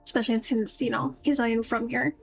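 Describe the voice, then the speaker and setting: A woman's voice: fairly high pitch, steady tone, quick pace, creaky. A woman streamer giving casual, chatty, musing commentary on a game with its music behind her.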